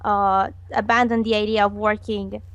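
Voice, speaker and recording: A woman's voice: mid-range voice, level tone, normal speed, fairly bright tone, smooth. A woman animator answering interview questions in an earnest way, giggling often and trailing off. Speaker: animator guest.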